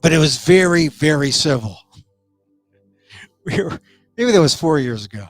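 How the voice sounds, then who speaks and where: A middle-aged man with a hoarse, scratchy voice, hosting a live online talk show and giving loose, opinionated commentary with restarts. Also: fairly high pitch, lively intonation, a little slow, no echo, soft background music.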